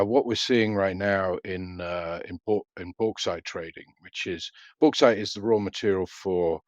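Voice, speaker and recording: Deep gravelly-voiced man, halting. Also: dry recording, lo-fi sound. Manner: measured, hesitant with many fillers and restarts. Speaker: freight market analyst guest. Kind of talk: business interview answers.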